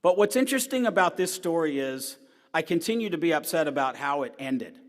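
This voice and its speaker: A middle-aged man with a loud, strong voice gives a talk as a newspaper columnist. He tells personal stories earnestly, rising to emphatic points and dropping to quiet asides. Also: fairly high pitch, very animated, a little fast. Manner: earnest storytelling, swinging between emphatic and quiet. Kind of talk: personal lecture to an audience. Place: large hall. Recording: long hall reverb.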